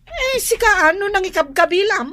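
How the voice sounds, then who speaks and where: A woman's voice: very high voice, lively intonation, normal speed, bright, forceful tone, full character voice. A woman with an Ilocano accent playing a brash, gossipy housewife in a radio drama. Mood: defensive.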